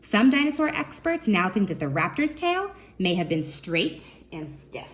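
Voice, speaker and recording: A woman children's librarian reading a nonfiction book aloud, expressively, pausing to put questions to young listeners. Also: medium pitch, big pitch swings.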